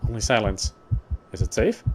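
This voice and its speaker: A man's voice: fairly low pitch, sing-song, moderate pace, background noise. A man streaming a game, reading its text aloud and commenting casually as a player.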